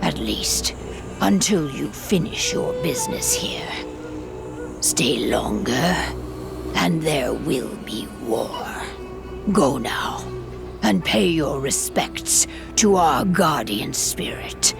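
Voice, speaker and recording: A proud werewolf chieftain in a game, low and very slow, with heavy gravel in a breathy voice. She is commanding and menacing, over background music. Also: big pitch swings, full character voice. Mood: stern, menacing. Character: menacing, proud female werewolf pack leader who distrusts humans. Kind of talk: video game cutscene acting.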